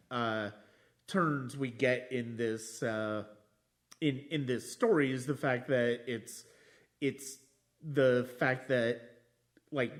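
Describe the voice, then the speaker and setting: A man hosting a casual film discussion, halting and repeating phrases as he thinks. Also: medium pitch. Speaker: podcast host.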